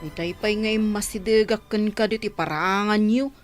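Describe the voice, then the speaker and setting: Woman acting teasing young village friend, soft music. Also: mid-range voice, fairly even intonation, moderate pace, close to an everyday voice. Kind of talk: Ilocano comedy radio drama acting. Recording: faint music behind the voice.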